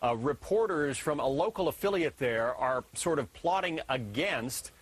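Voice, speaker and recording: A man's voice: on the high side, lively intonation, a little slow. A man with an American accent anchoring live television news and putting questions to a reporter.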